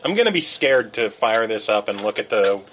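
A man's voice: lowish voice, very animated, moderate pace, a bit bright, slight background noise. A man co-hosting a casual podcast, teasing the others and steering the talk.

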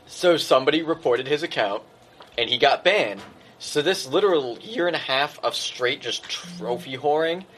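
A man's voice: fairly high pitch, lively intonation, normal speed, fairly bright tone. A man hosting a casual podcast, rambling and joking with friends, swearing freely. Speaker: podcast host.

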